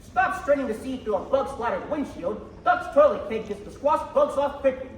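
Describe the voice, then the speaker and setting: A man's voice: very high-pitched, expressive, normal speed, some chatter behind. A young man playing a punchy announcer reading a 1940s radio advertisement in a declamatory sales style. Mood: salesmanlike. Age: teenager or young adult. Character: punchy old-time radio sponsor announcer. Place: school theater stage. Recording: echoey stage, distant mic, loud noise.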